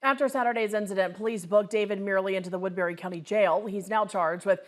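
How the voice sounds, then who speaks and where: A woman's voice: medium pitch, steady tone, fast talker. A woman anchoring television news, reading from a script.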